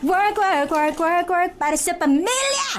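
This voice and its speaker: Very high-pitched, sassy young housemaid. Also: female voice, sing-song, measured pace, heavy background noise, heavily acted. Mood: playful showboating. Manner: exclamatory, singsong, theatrical. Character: feisty young provincial housemaid, playful, sassy, dramatic. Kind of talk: Filipino radio comedy-drama acting. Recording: music behind the voice.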